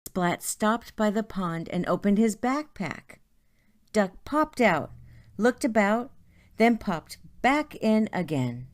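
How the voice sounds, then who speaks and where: A woman reading a children's story aloud in a deliberate, expressive way. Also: medium pitch. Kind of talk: read-aloud story narration.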